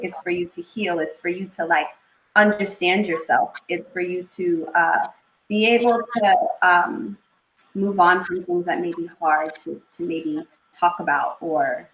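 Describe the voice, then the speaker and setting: A young woman with a lowish voice speaks as an author guest in a relaxed interview. She talks in a reflective, unhurried way, pausing often to find her words. Faint background noise sits under her voice. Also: echoey room, rough recording. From Eritrea and northern Ethiopia (family). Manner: thoughtful, unhurried, many "um" pauses. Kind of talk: casual interview conversation.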